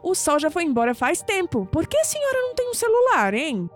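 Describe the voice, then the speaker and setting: A woman playing a wry, caring young sceptic in an expressive audio drama performance. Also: very high-pitched, brisk, faint music behind, full character voice. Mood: caring, exasperated.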